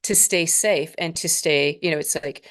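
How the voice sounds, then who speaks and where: Low-voiced woman, slow and reflective. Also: fairly bright tone. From Northern Alberta, Canada. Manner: slow, measured, spiritual phrasing with pauses. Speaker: podcast guest, spiritual mentor. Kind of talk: reflective interview conversation.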